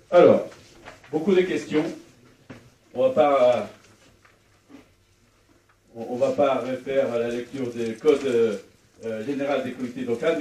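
A man in his thirties moderating a public debate off the cuff, pausing to search for words. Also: mid-range voice, darkish tone. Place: small wood-walled meeting room at a public event. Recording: echoey room, distant, faint noise.

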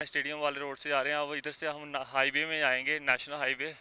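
A young man narrates his ride as a casual vlogger, talking chattily while he drives along a highway. His voice is bright and sits a little high, with little rise and fall. The clip-on mic sounds dull and lo-fi, with wind rush and passing traffic clearly heard behind him. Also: a little fast. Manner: chatty, excited, repeats "bhai" and "yaar". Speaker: motovlogger. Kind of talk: casual vlog commentary while riding. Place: outdoors on a highway, riding a scooter. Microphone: clip-on mic while riding.

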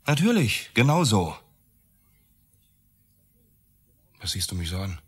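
A man's voice: on the low side, big pitch swings, brisk, husky. An actor playing a bitter, cornered, sarcastic man accused of murder in a radio drama. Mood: defensive, bitter. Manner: sarcastic, accusatory, rhetorical questions. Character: Max, accused architect, bitter, volatile, cornered lover. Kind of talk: German radio drama acting.

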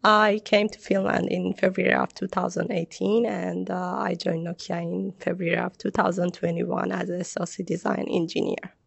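A woman from Iran introducing herself as an engineer on a panel, steady and composed. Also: lowish voice, moderate pace, creaky, breathy edge, no echo.

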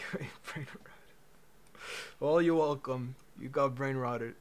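Slow, laid-back young man streaming. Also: medium pitch, a little hiss or hum. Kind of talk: casual livestream chat. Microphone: headset.

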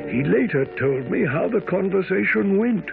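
An actor playing an earnest, loyal gentleman who narrates a radio mystery in a dramatic storytelling manner. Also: high voice, expressive, normal speed, gravelly. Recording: very muffled old radio broadcast, music.

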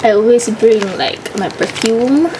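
Chatty woman vlogger, high voice. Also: a little slow, background noise. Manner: chatty, mixes English and Malay, giggly. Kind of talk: casual vlog chat. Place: bedroom at home. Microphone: handheld camera close up.

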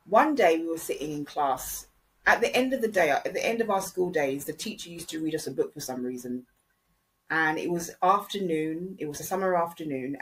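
Brief: low-pitched woman, candid podcast storyteller, blunt delivery. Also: low-quality recording. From Jamaica. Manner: blunt, candid, repeats phrases for emphasis. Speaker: podcast host. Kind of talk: reflective commentary and personal storytelling.

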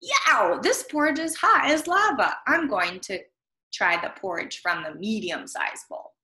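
Lady acting out eager hungry fairytale girl, big dramatic expression, bright sound, roomy webcam audio. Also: a little high, sing-song, unhurried, pitched above the performer's own voice, exaggerated voice. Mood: startled. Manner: dramatic, exaggerated expression for children. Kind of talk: expressive storybook play reading.

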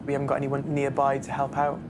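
A man's voice: mid-range voice, monotone, quick pace. A young man talking casually in an interview as a passenger. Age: young adult. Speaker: airline passenger, new parent. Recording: noisy cabin ambience.

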